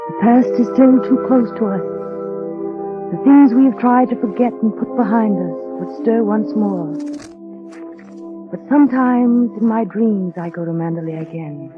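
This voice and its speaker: An actress playing a timid, wistful young woman who narrates a 1930s radio drama in a dreamy, measured way. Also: medium pitch, over music, lightly acted. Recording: thin, tinny 1930s radio broadcast.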